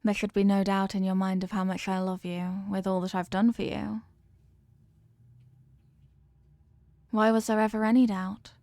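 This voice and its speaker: An evil queen, played by a woman in a scripted audio roleplay, speaks with formal, measured authority. She is possessive and obsessively in love, with menace veiled under courtly manners. The sound is dry, with very little room in it. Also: mid-range voice, little pitch movement, mellow, no room sound, lightly acted. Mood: possessive reassurance. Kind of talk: scripted roleplay monologue, ASMR audio drama.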